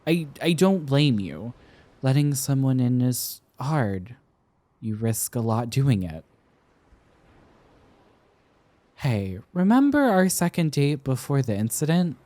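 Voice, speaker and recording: Casting: male actor as smitten young boyfriend for roleplay ASMR, mellow and unhurried, playful, tender, slightly halting. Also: mid-range voice, sing-song. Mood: earnest. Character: affectionate, teasing young man smitten with an old friend. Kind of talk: intimate roleplay ASMR acting.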